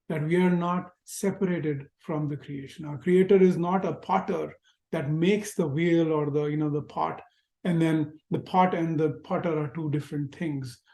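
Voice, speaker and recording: Indian-accented male teacher, mellow and a touch high, lecturing gently in a roomy echo on a video call. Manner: reflective, gentle, with rhetorical questions. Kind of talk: spiritual lecture to an online class.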